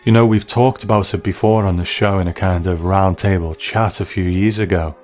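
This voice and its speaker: A man hosting a show, giving measured, reflective commentary as he reviews a piece. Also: deep voice, fairly even intonation, a little mellow. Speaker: podcast host. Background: music.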